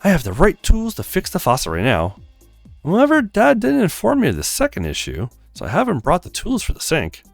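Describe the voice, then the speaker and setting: A man's voice: high voice, huge pitch swings, fairly quick, vocal fry, no echo, soft background music, pitched above the performer's own voice, exaggerated voice. A man voicing a courteous, easygoing handywoman in her twenties for a visual novel game. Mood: helpful, brisk. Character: friendly, polite young handywoman of twenty-four.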